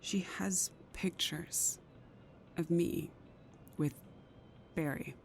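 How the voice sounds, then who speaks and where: A woman acting a careful, troubled career mother in an intimate audio drama, measured and sometimes hesitant. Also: on the low side, whispered. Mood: anxious, confiding.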